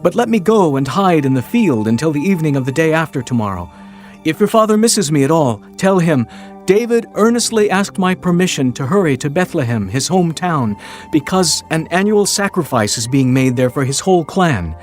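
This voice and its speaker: David, anxious higher-voiced young fugitive. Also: male voice, very animated, brisk, soft background music. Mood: urgent, anxious. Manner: urgent, pleading, emotional. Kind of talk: dramatized scripture audio acting.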